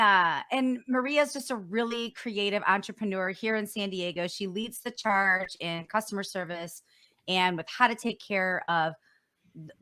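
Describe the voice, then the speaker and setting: A woman co-hosting a live-streamed business show, speaking in a bright, forceful voice. She talks in a chatty, eager way, full of casual fillers, as she introduces guests and shares her reasons for the show. The sound comes over a video call. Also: mid-range voice. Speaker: co-host. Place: San Diego.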